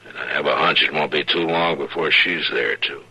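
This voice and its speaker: An actor playing a steady, laconic Old West marshal with an American accent, measured, polite and probing, in an old radio drama. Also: male voice, very deep voice, slightly rough, faint music behind, exaggerated voice. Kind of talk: old-time radio western acting. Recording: noisy old radio recording.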